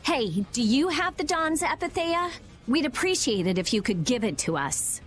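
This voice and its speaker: A woman voicing a brainy, blunt, excitable teenage girl inventor in a video game. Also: high voice, moderate pace, soft background music. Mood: eager, blunt.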